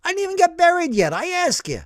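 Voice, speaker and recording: A man voicing a brash, excitable older working-class man who tells his story in animated slang. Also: very high voice, quick pace, dry recording, studio quality, voice pushed up, heavily acted. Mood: indignant.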